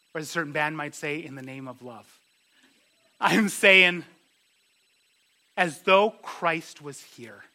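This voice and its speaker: Middle-aged pastor preaching, earnest and chatty, bright forceful tone, slightly high voice, leans on key words. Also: male voice. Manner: earnest and conversational, with slow emphatic stresses. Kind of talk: expository sermon.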